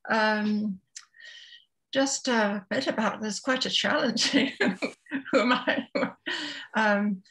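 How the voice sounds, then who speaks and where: A woman in her seventies from Scotland speaks as a guest in an interview. Her voice sits at a middle pitch, and she phrases things thoughtfully, with some hesitation, in a reflective, conversational storytelling style. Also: fairly even intonation, takes it slowly, pauses often, fairly bright tone, poor audio. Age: 70s. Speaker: interview guest, author and therapist.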